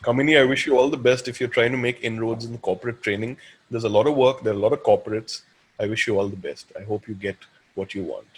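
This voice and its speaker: Indian man, thirties, as an animated webinar speaker. Quick delivery, deep husky tone, conversational advice. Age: 30s. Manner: animated, acts out imagined dialogue. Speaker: guest speaker and counselor. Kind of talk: informal webinar Q&A, giving advice.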